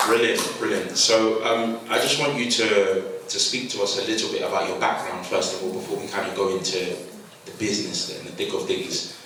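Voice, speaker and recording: A man's voice: low voice, flat delivery, fairly quick. A man hosting a conference, encouraging the audience and stumbling over repeated words. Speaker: conference host. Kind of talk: hosting a live event. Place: large conference hall. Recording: echoey hall, far mic, crowd noise.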